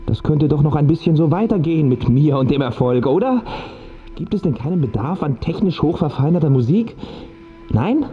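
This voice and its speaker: Actor as needy, animated pop singer Bruno, muffled sound. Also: male voice, on the high side, moderate pace, close to an everyday voice. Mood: eager, pleading. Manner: pleading, questioning, restless. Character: Bruno, needy, agitated male pop singer chasing success and love. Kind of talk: radio play acting. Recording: muffled radio play, music underneath.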